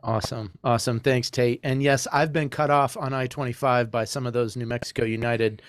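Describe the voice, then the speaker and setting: A man's voice: mid-range voice, fairly even intonation, brisk, no room sound, clean studio sound. A man hosting a casual podcast, joking with guests and inviting each one to speak. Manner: jokey, friendly, prompts guests. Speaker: podcast host.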